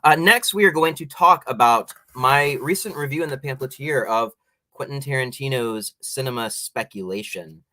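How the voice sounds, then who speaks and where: A man's voice: medium pitch, normal speed, sharp tone. An American man hosting a conversational interview show, introducing topics and putting questions to guests.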